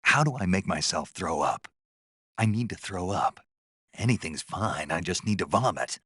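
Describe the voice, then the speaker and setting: A man playing a gruff, raspy special forces soldier in a video game, stoic and dryly naive, with a terse, deadpan delivery. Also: low-pitched, normal speed, dry recording, studio quality, exaggerated voice. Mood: queasy.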